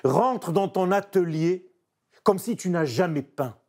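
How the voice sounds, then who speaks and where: A man, a painter speaking French as a second language, reflecting emphatically in an interview. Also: high voice. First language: not French. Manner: animated, emphatic, searching pauses. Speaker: painter guest.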